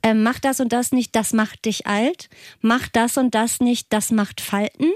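A woman's voice: fairly high pitch, steady tone, a little slow, no echo, clean studio sound. Chatty self-ironic woman in her thirties. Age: 30s. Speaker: podcast co-host. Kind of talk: casual podcast chat.

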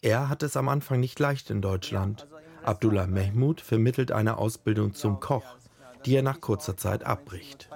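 A man reading a scripted documentary voice-over in an even, measured way. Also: fairly low pitch, vocal fry. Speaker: documentary narrator. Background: faint music.

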